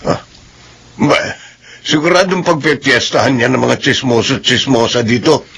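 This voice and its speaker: A man playing a calm, frank middle-aged father of a grown son in a radio drama. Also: mid-range voice, moderate pace, vocal fry, breathy edge, exaggerated voice. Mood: frank, wry.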